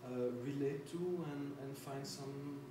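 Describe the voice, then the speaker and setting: A man's voice: mid-range voice, fairly even intonation, slow. Stammering man in a humming echoey hall. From Belgium. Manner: stammering, repeats words. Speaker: audience member in discussion. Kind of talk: thoughtful discussion remark. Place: large public hall. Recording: echoey hall, distant mic, hum.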